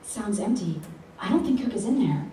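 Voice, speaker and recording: A woman's voice: mid-range voice, fairly quick, close to an everyday voice. A woman playing an action hero's feisty, exasperated sidekick in a live comedic radio play. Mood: helpful curiosity. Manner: exasperated, animated. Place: rehearsal hall live performance. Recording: echoey distant hall with background noise.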